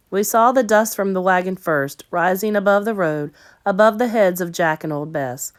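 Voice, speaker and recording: A woman teacher narrating a children's story in an expressive read-aloud style. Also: fairly low pitch.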